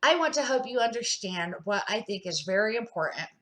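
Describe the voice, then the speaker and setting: A woman's voice: mid-range voice, expressive, a little fast, a bit bright, natural voice. A woman reading the part of a kindly, wise older man, a storybook neighbor who explains things in an encouraging way. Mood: inviting.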